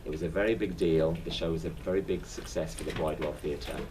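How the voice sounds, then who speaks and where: A man's voice: a bass voice, steady tone, fast, far from the mic, heavy background noise. A man with a London accent, a stage actor telling anecdotes in a casual interview, often restarting his sentences. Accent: London English. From England. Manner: chatty anecdotal storyteller with frequent restarts.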